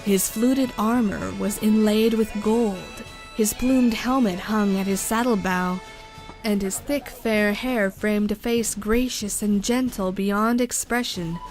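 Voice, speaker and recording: A woman reading a storybook as narrator, in a steady, measured way. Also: medium pitch, low-quality recording. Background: music.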